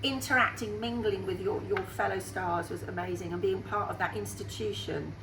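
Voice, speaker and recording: A woman's voice: mid-range voice, steady tone, normal speed. Upbeat chatty woman in her sixties. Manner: chatty and upbeat, laughs easily. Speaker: singer guest. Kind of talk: radio interview answers. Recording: roomy sound with faint background hum.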